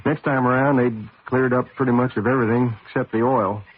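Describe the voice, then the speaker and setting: A man's voice: mid-range voice, fairly even intonation, fairly quick, husky, natural voice. An actor playing a cocky but good-natured young race driver, husband and father, in a radio drama. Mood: wry, exasperated. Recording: noisy, tinny old radio broadcast.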